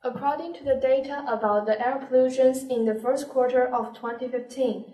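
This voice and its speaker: A woman's voice: high-pitched, steady tone, normal speed, warm tone. A young woman from China, a first-year student, carefully reciting prepared figures. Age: young adult. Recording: echoey room, distant mic.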